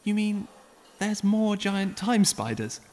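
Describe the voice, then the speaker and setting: A man voicing an eager, slightly nervous young man full of wide-eyed excitement. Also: sits high, slow pace, a little hiss or hum, voice pushed up, exaggerated voice.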